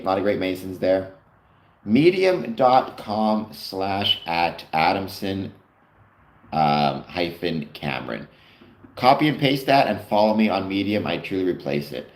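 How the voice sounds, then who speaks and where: A Canadian man hosting a casual livestream, rambling with fillers as he answers viewers' comments. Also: sits low. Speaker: livestream host. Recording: echoey room.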